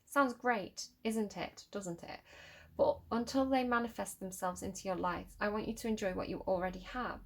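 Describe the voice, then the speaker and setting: A woman's voice: mid-range voice, slight background noise. A woman with a Manchester accent giving a casual tarot reading to camera, musing and repeating phrases as she reads card meanings from a book. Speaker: tarot reader.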